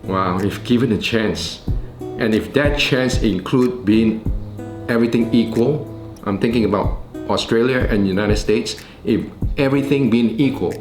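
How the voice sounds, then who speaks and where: A man with a Malaysian accent giving deliberate answers in an interview, often repeating and rephrasing himself. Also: mid-range voice, darkish tone. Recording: roomy sound with background music.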